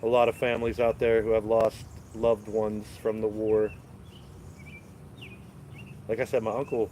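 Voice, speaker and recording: A man's voice: fairly low pitch, noisy background. A man hosting a casual live stream outdoors in his backyard garden, stuffed up with a cold, rambling to his chat as he walks with his phone. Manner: rambling, laid-back, talks to chat while walking. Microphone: phone camera held while walking.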